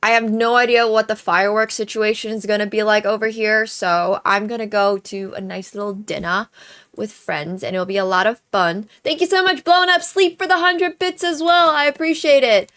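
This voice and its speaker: A woman's voice: medium pitch, moderate pace, fairly bright tone. A young woman with Chinese as her native language, chatting casually with viewers as a live-stream host. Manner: chatty, thanks viewers, tries greetings in many languages. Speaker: livestream host. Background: faint background music.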